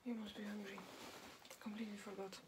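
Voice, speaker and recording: A young woman playing a guarded, terse Swedish hacker in a soft, breathy, often whispered ASMR roleplay. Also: medium pitch, level tone, brisk, close to an everyday voice. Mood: guarded, caring. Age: young adult. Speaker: ASMR role-play performer. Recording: loud background noise, layered clicking sounds. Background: loud active background noise with clicks.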